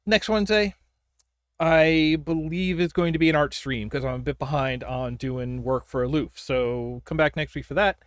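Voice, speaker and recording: A man's voice: on the high side, moderate pace, clean tone, no room sound, clean studio sound. A man hosting a livestream in casual gaming chat, thinking aloud and reacting to play as he goes. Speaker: livestream host.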